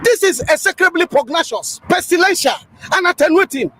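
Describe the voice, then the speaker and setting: A man's voice: very high voice, expressive, a little fast, fairly bright tone, faint music behind. A man declaiming bombastic political oratory, stacking long obscure words with emphatic repetition.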